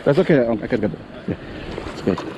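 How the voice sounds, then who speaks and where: A man giving excited running commentary while he fishes from a riverbank, talking to himself and repeating words. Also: mid-range voice, fast. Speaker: fishing vlogger. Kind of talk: live commentary while fishing. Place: outdoors on a riverbank. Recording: outdoor recording with steady background noise.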